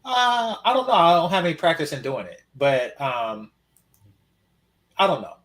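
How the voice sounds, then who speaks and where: A man's voice: on the high side, normal speed, fairly bright tone. A man from Oakland chatting casually as a podcast co-host, telling stories in a wry, matter-of-fact way. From Oakland, California. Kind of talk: casual chat.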